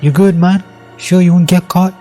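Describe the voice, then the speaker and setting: Older-friend boxer for story drama, played by a man: gritty determination, streetwise urging, pitched up, over music. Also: steady tone, normal speed, warm tone, close to an everyday voice. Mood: confident. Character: tough, determined teenage boy boxer, protective older friend.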